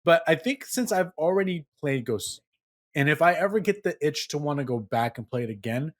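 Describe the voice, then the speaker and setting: A man's voice: a little high, fairly quick. Casual man hosting a gaming podcast. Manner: animated, steers the talk with questions. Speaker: podcast host.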